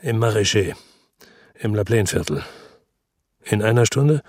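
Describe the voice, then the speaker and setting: Weary ex-cop, radio crime drama narrator, low-pitched, rough husky tone, laconic, sober. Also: male voice, expressive, measured pace, darkish tone, dry recording, studio quality. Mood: dry, matter-of-fact. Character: world-weary male ex-policeman from Marseille, narrating his murder case. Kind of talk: first-person radio drama narration and dialogue.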